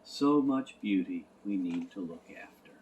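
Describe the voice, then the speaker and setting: A man reading a storybook as narrator, in a gentle, measured way. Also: low-pitched, lively intonation, slight background noise, poor audio. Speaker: audiobook narrator.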